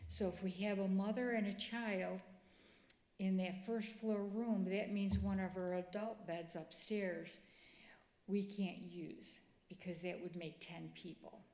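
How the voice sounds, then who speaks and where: A middle-aged woman, speaking softly in a church presentation. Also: mid-range voice. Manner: soft-spoken, quiet voice. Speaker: ministry speaker. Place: church sanctuary. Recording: echoey church room.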